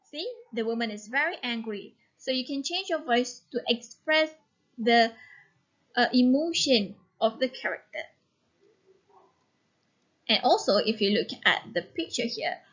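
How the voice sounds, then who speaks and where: A woman teacher reading a story aloud and giving instruction in a deliberate, instructive manner. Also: a little high, expressive, highs cut off.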